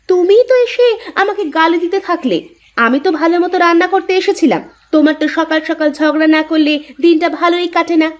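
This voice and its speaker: A shrill, high-pitched young village wife from a cartoon, voiced by a woman. She is combative and defensive, always scolding and complaining as she argues her side. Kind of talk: Bengali cartoon dialogue acting.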